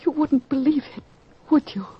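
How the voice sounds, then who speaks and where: A woman's voice: high voice, normal speed, close to an everyday voice. An actress playing an overwrought mother in an old-fashioned radio drama, with theatrical, emotional delivery. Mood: overwhelmed. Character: overwrought mother of a sick young girl. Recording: dull, noisy lo-fi radio recording.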